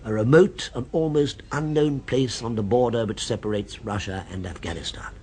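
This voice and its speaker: A man's voice: medium pitch, normal speed, darkish tone, breathy edge, natural voice. An actor in an old radio drama playing a refined man obsessed with a restaurant, who speaks in a precise, insinuating, knowing way. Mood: knowing, earnest. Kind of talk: 1970s radio drama acting. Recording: old radio broadcast recording.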